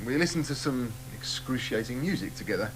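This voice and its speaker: An actor playing an earnest, intellectual journalist with a dry manner. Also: male voice, mid-range voice, lively intonation, brisk, background noise, natural voice. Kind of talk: radio drama acting.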